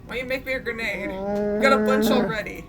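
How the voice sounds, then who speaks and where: A woman's voice: on the high side, fairly even intonation, fairly quick, over music. A woman chatting casually and playfully as she plays a video game, with a mock-bossy manner. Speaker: gamer playing with a friend. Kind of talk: casual gameplay chat. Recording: loud background noise.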